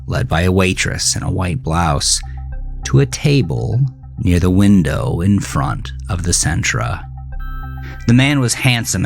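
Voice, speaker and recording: A man narrating a scripted horror thriller story in a measured, deliberate storytelling manner. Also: low voice, creaky. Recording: music bed under the voice. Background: music.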